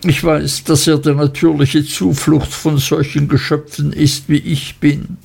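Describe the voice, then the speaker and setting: A man's voice: level tone, a little slow, creaky, a little mellow, husky, exaggerated voice. A man voicing a despairing young woman by a river, anguished and lamenting. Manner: anguished, lamenting, raised pitch.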